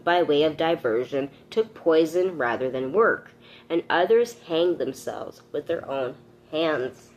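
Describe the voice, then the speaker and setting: Low-pitched, lively woman reading aloud. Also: a little hiss or hum, rough recording. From Canada. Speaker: host reading a book aloud with commentary. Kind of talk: read-aloud with informal commentary.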